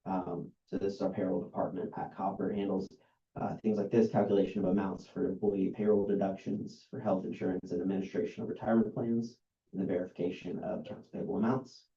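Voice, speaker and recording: Dark-voiced, monotone man reading aloud. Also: low-pitched. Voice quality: dull, monotone delivery. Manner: halting, many ums and uhs. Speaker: outside accountant. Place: meeting room. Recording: roomy, dull lo-fi meeting recording.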